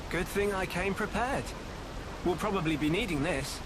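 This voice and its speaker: A man's voice: high-pitched, normal speed, background noise, close to an everyday voice. A man playing an earnest, capable young hero in a video game cutscene. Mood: calm explaining.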